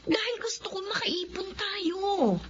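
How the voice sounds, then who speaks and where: A woman's voice: very high-pitched, unhurried. A woman with a Filipino accent acting in a radio drama as an ambitious, emotional wife and mother with a pointed manner. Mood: nagging, scornful. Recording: muffled audio with faint background noise.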